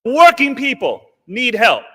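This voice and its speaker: A man's voice: extremely high pitch, wildly swooping pitch, unhurried, a bit bright, slightly rough. Indignant congressman in an echoing chamber. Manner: sarcastic, indignant. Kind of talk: floor speech. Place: legislative chamber. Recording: long reverb of a large chamber.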